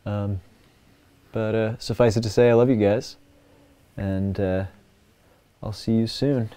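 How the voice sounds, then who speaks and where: Mellow, halting man chatting on a livestream. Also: on the low side, steady tone, clean tone, no room sound. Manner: halting, informal, sincere. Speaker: livestream host. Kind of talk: casual unscripted livestream chat.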